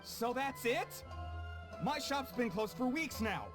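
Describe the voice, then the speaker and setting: Fed-up, high-voiced merchant. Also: male voice, animated, slow, over music, full character voice. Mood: frustrated. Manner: aggrieved, indignant. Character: fed-up, hot-headed male foreign merchant. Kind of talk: English video game dub acting.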